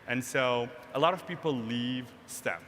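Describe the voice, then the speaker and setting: A man's voice: mid-range voice, measured pace, a bit bright. A man from Morocco, a tech community founder being interviewed on stage, talking earnestly and often checking in with "right?". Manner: earnest, frequent "right?" tag questions. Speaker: tech nonprofit founder, guest. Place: large hall, live event stage. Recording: echoey hall, faint noise.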